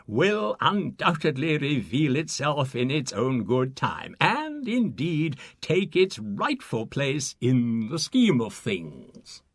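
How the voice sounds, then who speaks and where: An actor playing a pompous, dithering panel chairman in a satirical audiobook story, hesitant and full of ums and pauses. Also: a little high, a bit bright, dry recording, heavily acted. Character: dithering, pompous male panel chairman, hemming and hawing politely.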